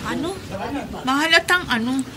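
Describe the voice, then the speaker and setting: A woman's voice: high-pitched, normal speed, crowd noise, natural voice. A woman playing a frightened, questioning wife of a secret militant in a Filipino radio drama. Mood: alarmed, questioning.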